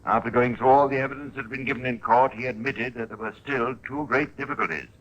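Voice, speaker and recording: A man narrating a radio crime drama as host, in a measured, theatrical 1950s style with pointed emphasis. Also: medium pitch, a bit bright, muffled recording.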